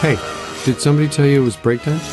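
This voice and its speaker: A man playing a deadpan, sardonic, flirty scientist who catches ghosts in a video game. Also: medium pitch, quick pace, natural voice. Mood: sarcastic. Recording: loud music behind the voice.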